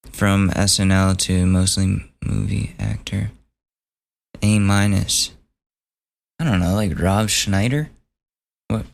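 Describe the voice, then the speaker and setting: Hoarse, gravelly man hosting a podcast. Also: on the low side. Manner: offhand, swears, thinks aloud while reading gossip items. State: losing his voice, hoarse. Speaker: podcast host. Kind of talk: casual solo podcast commentary.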